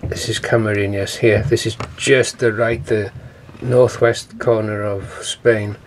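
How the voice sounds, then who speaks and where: A man's voice: on the low side, level tone, slow pace. A man narrating a casual video diary from his sailboat, hesitant and rambling, with many ums and uhs, recorded on a handheld camera. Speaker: solo sailor filming his voyage. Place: on a sailboat at sea and in harbour. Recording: noisy outdoor camera audio with wind. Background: wind and water noise.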